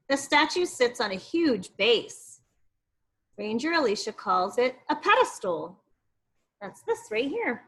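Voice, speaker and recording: A woman teacher reading an informational book aloud to young children, expressive and explanatory, with asides to her pupils. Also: a little high.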